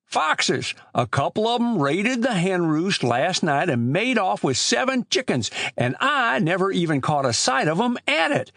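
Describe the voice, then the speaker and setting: Guy doing a high voiced old country shopkeeper for a storybook, folksy n rustic, kinda aggrieved but kindly. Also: very animated, unhurried, gravelly, hardly any pauses, a bit bright, dry recording, clean studio sound, heavily acted. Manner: rustic, ungrammatical country talk. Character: folksy old male village storekeeper, aggrieved but generous. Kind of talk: storybook character voice.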